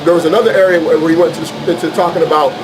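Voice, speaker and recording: High-pitched American man preaching over noise. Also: fairly even intonation, rapid-fire. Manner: emphatic, repetitive, colloquial. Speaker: street preacher and Bible teacher. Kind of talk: informal argumentative preaching.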